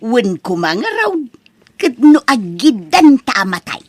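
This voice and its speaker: A woman with an Ilocano accent playing a vain, chatty elderly village mother in a comedy audio drama, animated and folksy in manner. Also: on the high side, slow pace, no room sound, heavily acted. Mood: chatty, matter-of-fact.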